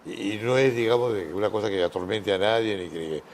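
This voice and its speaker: A man hosting an informal interview, chatty and quick to agree. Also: on the low side, slight background noise. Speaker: interview host.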